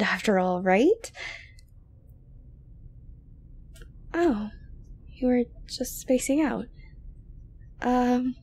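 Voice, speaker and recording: A woman playing an obsessive, sweet-talking yandere girlfriend in an intimate ASMR audio roleplay, coaxing softly with breaths and near-whispers. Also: fairly high pitch. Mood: concerned. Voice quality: soft, breathy, often dropping to a whisper. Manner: coaxing, cooing, falsely gentle, hesitant.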